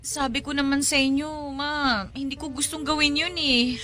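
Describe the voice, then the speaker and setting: A woman's voice: high-pitched, little pitch movement, moderate pace, heavy background noise, natural voice. A young woman playing a reluctant, dutiful daughter in a radio drama, pleading timidly and respectfully. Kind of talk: Tagalog radio drama acting.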